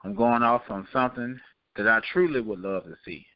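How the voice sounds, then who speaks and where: A man's voice: mid-range voice, a little fast, no room sound. A man co-hosting a podcast with casual, playful, emphatic banter. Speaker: podcast co-host. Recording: slightly dull, dry radio-like sound.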